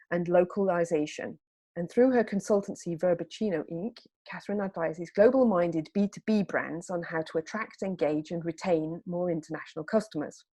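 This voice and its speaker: A woman, hosting an interview. She introduces her guest and asks questions in a measured, polite way. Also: lowish voice, mellow, breathy edge. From United Kingdom. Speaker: podcast interviewer.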